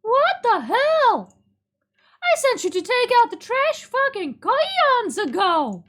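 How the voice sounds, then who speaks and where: A woman voicing a brash, bossy female shop clerk who scolds loudly, in a visual novel. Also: extremely high pitch, very animated, takes it slowly, pitched above the performer's own voice, full character voice. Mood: angry, scolding. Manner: loud, scolding, swearing.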